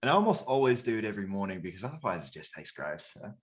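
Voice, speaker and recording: A man's voice: lowish voice, big pitch swings, very fast. A man of twenty-one giving casual interview answers, hesitant and rambling with many restarts.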